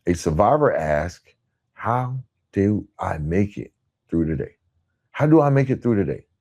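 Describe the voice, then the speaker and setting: Low, bright-toned motivational man. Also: sing-song, unhurried. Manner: emphatic, earnest, builds repeated phrases in parallel. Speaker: podcast host. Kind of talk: motivational monologue.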